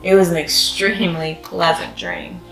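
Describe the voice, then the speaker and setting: A woman's voice: lowish voice, little pitch movement, normal speed, creaky, music in the background. Echoey woman reading a children's storybook. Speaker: storybook reader, channel host. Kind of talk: children's read-aloud narration.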